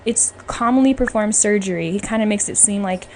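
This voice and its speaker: Chatty woman podcaster, clear background noise. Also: medium pitch, brisk. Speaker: podcast host. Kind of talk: casual opinionated podcast talk.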